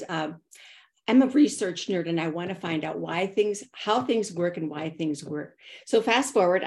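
A middle-aged woman who is a guest on a podcast. She tells personal stories in an animated way and acts out her own quoted thoughts. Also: fairly low pitch, gravelly. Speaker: podcast guest, consultant and author. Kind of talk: personal storytelling in an interview.

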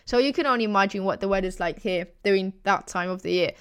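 A woman's voice: medium pitch, fast, clean tone. A young Italian woman with an Italian accent, hosting her podcast in a chatty, enthusiastic way and laughing between thoughts. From Italy. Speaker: podcast host. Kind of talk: casual podcast monologue. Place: home in Wales.

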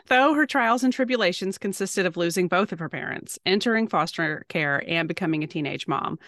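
Low-pitched woman podcast co-host. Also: fairly bright tone, no echo, clean studio sound. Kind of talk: conversational podcast hosting.

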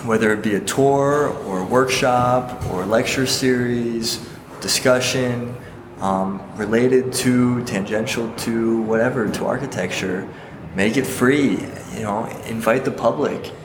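A man's voice: medium pitch, a little slow. A man, an architect, talking casually in a group discussion and rambling, with many fillers and restarts. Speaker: architect, program organizer. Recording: echoey hall with loud room noise.

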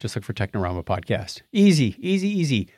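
A man's voice: mid-range voice, very animated, a little fast, dark tone, no room sound, clean studio sound. A man hosting a podcast in a casual, conversational way, telling stories with animation. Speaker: podcast host.

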